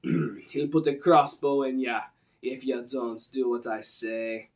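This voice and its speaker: Man putting on a menacing, slow Jamaican bounty hunter. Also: fairly even intonation, a little mellow, recorded from a distance. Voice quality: deep. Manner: slow, threatening.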